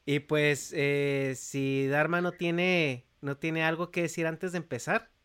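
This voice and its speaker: A man's voice: on the high side, fairly even intonation, slow pace, fairly bright tone, clean tone. A man hosting a podcast, introducing things in an informal, friendly, conversational way. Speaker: podcast host.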